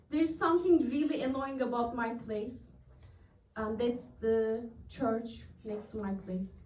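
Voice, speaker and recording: A woman in her thirties from Turkey does stand-up on a club stage, chatty and quick to laugh at her own jokes. Her voice sits high, and she takes her time with the lines. The sound comes from a distance, with room echo. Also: a little mellow, slight background noise. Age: 30s. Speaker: stand-up comedian. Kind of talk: open-mic stand-up comedy. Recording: echoey room recorded from afar.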